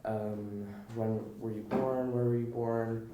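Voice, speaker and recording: Male interviewer, American accent, dark relaxed tone, encouraging, conversational, echoey room, slight background noise. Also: mid-range voice, slow pace, soft background music. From California. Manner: affirming, encouraging. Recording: roomy echo with faint background noise.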